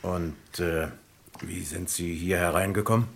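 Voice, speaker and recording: A man's voice: low-pitched, fairly even intonation, gravelly, slight background noise. A man playing a courteous, probing police inspector who hums thoughtfully in an old German radio crime drama. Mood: probing, calm. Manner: deliberate questioning with thoughtful hums.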